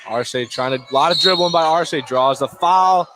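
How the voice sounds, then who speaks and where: A man's voice: a little high, moderate pace, fairly bright tone. A man calling basketball play-by-play for radio in clipped running phrases. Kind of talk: live sports radio commentary. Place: indoor basketball gym. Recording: noisy gym ambience behind. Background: basketball bounces, gym noise.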